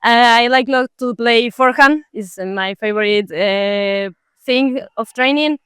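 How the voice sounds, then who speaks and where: A woman's voice: a little high, unhurried, fairly bright tone, smooth, dry recording, clean studio sound. A Spanish woman in her twenties, a table tennis player, answering questions in simple phrases with fillers. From Spain. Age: 20s.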